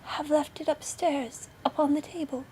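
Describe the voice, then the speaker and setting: A desperate storybook wife, acted by a woman narrator, pleads in a very high, breathy voice. She sounds trembling and sorrowful, on a somewhat noisy recording. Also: fairly even intonation, moderate pace, mellow, close to an everyday voice. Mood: frightened. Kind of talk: storybook character voice.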